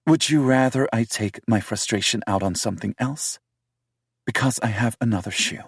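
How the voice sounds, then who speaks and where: A man's voice: medium pitch, moderate pace, breathy edge, no echo, studio quality, voice pushed up. A man voicing a prickly, defensive young woman in an audiobook, speaking in a raised, emphatic way. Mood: snappy. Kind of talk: audiobook character voice.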